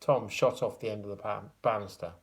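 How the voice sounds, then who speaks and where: A man reading a children's story aloud like a schoolteacher, with expressive, dramatic emphasis. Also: lowish voice, a little fast. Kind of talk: animated children's storybook narration.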